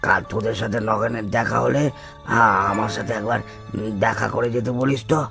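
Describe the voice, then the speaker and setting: Man acting a gravelly, frail old village grandfather. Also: on the low side, steady tone, sharp tone, soft background music. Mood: protective, insistent. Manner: halting, stammering, questioning. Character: frail, grumbling, caring old village grandfather, former occultist. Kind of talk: Bengali cartoon story acting.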